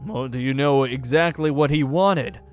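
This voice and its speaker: A man's voice: mid-range voice, normal speed, clear, resonant tone, natural voice. A man playing a curious, easily impressed young gunslinger in an improvised Minecraft roleplay, talking casually and full of questions. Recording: background music under the voice.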